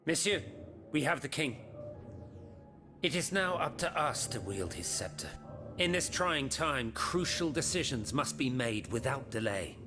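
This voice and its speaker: An actor playing an authoritative eighteenth-century statesman in a video game, formal and measured. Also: mid-range voice, lively intonation, natural voice. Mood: grave, resolute. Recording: music behind the voice.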